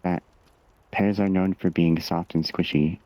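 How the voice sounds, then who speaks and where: A man co-hosting a livestream, chatting casually with dry, deadpan banter. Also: low-pitched, unhurried, no room sound, dull audio. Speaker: co-host.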